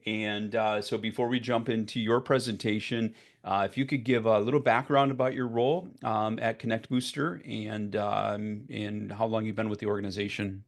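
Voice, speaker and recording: Low-voiced man hosting a video call. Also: level tone, gravelly. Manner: filler-heavy, halting with many "you know"s. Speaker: webinar host.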